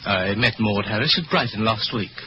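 An actor playing a suave, mocking aristocratic blackmailer in an old radio mystery. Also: male voice, lowish voice, normal speed, close to an everyday voice. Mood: smug, insinuating. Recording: dull, very noisy old radio recording.

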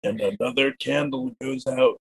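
A man's voice: medium pitch, steady tone, moderate pace, gravelly. A man, casually narrating his character as a player in a tabletop roleplaying game.

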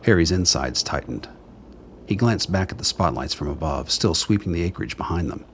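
A man's voice: low-pitched, lively intonation, moderate pace, slight background noise. A man narrating a scripted radio drama in a dramatic storytelling style. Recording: background ambience under narration.

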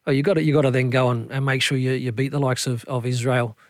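A man's voice: medium pitch, little pitch movement, fast talker, dry recording, clean studio sound. A man discussing football on radio, often stammering and posing questions. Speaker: football pundit. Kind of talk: sports radio analysis.

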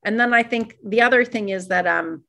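A middle-aged woman from Iran, talking fast and animatedly as an interview guest, with many fillers and restarts. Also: medium pitch, creaky, sharp tone. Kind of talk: reflective interview conversation.

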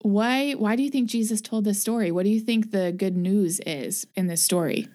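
A woman hosts a podcast discussion in an even, mid-pitched voice. She leads with gentle, encouraging questions and reflects her guest's answers back. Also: normal speed. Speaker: podcast host. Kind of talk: guided discussion.

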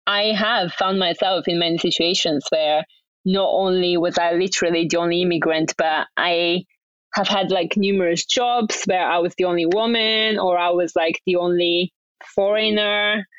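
A woman's voice: fairly low pitch, fairly bright tone, no echo. Upbeat Bulgarian-born woman, lo-fi sound. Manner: upbeat, laughs often between phrases. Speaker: podcast guest. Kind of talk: casual interview chat.

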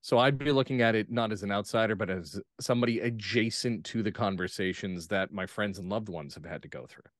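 A man talking casually and reflectively as an interview guest, phrasing his thoughts in measured pieces. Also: on the low side, no room sound, studio quality. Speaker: guest playwright and actor. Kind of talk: casual discussion.

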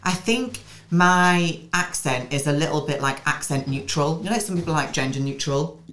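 Low-voiced northern English woman. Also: normal speed, a bit bright, reverberant, slight background noise. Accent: mild northern English, Newcastle traces mixed with a hint of American. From Newcastle, England. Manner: chatty and digressive, with many restarts. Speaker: podcast guest. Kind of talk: casual interview chat.

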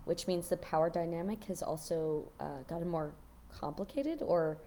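A woman's voice: fairly low pitch, moderate pace, mellow, slight background noise. A woman co-hosting a podcast, chatting informally about TV, feeling her way through thoughts and prone to giggling. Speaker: podcast co-host. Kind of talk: casual chat about a TV show.

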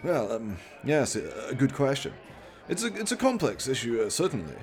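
A man's voice: mid-range voice, huge pitch swings, normal speed, soft background music, pitched above the performer's own voice. A man voicing a vain, smarmy politician in his sixties in a radio play, speechifying in a hesitant, stammering, self-important way. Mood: evasive, oily.

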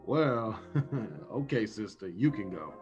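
A man's voice: fairly low pitch, expressive, takes it slowly. A man acts out a slangy, streetwise tough guy from an old radio drama. The recording is a bit dull, with music playing underneath. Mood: softened. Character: tough, suspicious streetwise man with a soft streak.

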